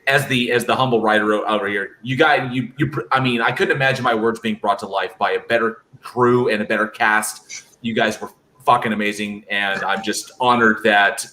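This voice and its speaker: Man, slightly low but bright, forceful voice, effusive co-host. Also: steady tone, normal speed, poor audio. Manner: effusive, grateful. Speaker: co-host and film writer. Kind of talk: casual chat.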